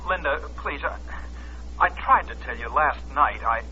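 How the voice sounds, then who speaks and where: An actor playing an apologetic, hesitant man breaking off an engagement, halting and stammering in 1940s radio drama style. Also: on the high side, animated, sharp tone, heavily acted. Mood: sheepish, anguished. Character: apologetic, hesitant man jilting his fiancée. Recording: dull, faintly noisy vintage radio recording.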